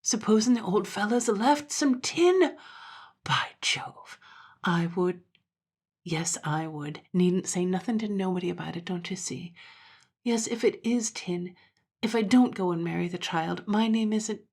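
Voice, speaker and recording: A woman's voice: mid-range voice, a little slow, a little mellow, husky. A woman voicing a posh, languid young male dandy from a storybook, drawling blustering upper-class slang. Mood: scheming excitement.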